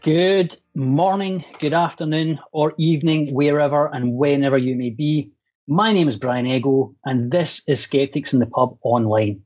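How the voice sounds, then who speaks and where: A man's voice: fairly high pitch, moderate pace, darkish tone, faint music behind. A man hosting an online talk, introducing a guest with wry jokes. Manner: wry, jokey. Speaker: host. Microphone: video call. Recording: dull lo-fi sound.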